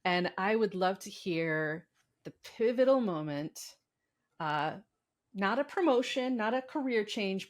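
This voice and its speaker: A woman hosting an interview and asking measured, curious questions. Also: lowish voice. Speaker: interviewer, host.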